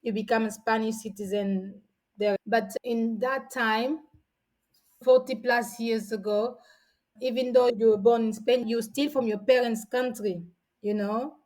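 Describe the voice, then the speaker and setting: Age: 40s. Woman, fairly high voice. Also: a little slow, poor audio. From São Tomé and Príncipe. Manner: chatty, frequent restarts, animated retelling. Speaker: guest. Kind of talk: casual storytelling.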